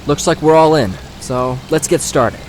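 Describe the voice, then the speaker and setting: A man's voice: on the high side, moderate pace, a little hiss or hum, close to an everyday voice, poor audio. A man playing a confident young trail guide in an audio drama, with a firm, instructive manner. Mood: upbeat, joking. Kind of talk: scripted audio drama acting. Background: faint outdoor ambience and stream effects.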